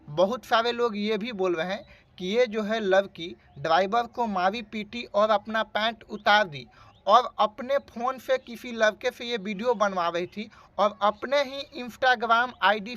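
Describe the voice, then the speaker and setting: Interviewer of unclear sex, Bhojpuri-influenced Hindi, mid pitch, insistent, probing, repetitive questioning. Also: a little slow, fairly bright tone, no room sound. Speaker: interviewer for an online news channel.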